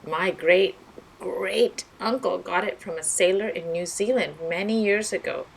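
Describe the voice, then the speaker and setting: A woman's voice: mid-range voice, a little slow, vocal fry, a little hiss or hum, poor audio. A woman voicing a hearty, proud male sea captain in a storybook, explaining things with emphasis. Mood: informative.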